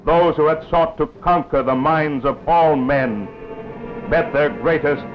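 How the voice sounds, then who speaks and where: A man's voice: fairly high pitch, a little slow. A man narrating a 1940s wartime newsreel in a grave, oratorical, scripted style. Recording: dull lo-fi 1940s film soundtrack with music.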